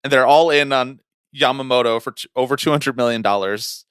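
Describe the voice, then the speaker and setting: A man co-hosting a podcast, talking casually about sports in an animated, wry, conversational way. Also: mid-range voice, a little fast, clean tone, dry recording. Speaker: podcast co-host.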